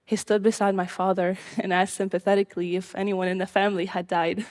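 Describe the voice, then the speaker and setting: A woman's voice: medium pitch, little pitch movement, darkish tone. Young woman telling her own story. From Syria. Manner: earnest, measured storytelling with occasional laughs. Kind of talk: live personal storytelling.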